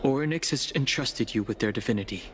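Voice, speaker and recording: An actor playing a calm, matter-of-fact male companion in a game, explaining things plainly and briefly. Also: mid-range voice, fast, a little hiss or hum, close to an everyday voice. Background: game music.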